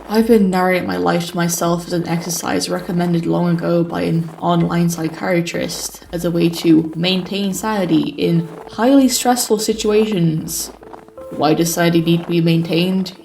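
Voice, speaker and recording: Male actor as jaded post-apocalyptic survivor, sardonic, deliberate storytelling, sighs, music underneath. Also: high-pitched, creaky, poor audio. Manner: wry, sighing, deliberate. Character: weary sarcastic male survivor narrating the apocalypse. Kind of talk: dramatic scripted narration. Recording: music behind the voice.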